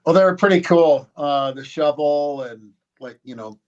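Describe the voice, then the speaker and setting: A man chatting in an informal, halting way in a group discussion, heard through a lo-fi microphone. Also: on the high side, slight background noise. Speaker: panel participant. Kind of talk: casual chat. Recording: dull, lo-fi microphone.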